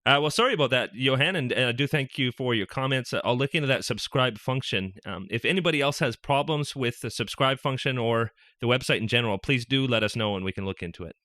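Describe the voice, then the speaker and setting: Male podcast host from Canada, mid-pitched and bright, dry close-mic sound, reads emails and chats. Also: fast, few pauses, dry recording. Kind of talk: reading listener emails and chatting on a fan podcast.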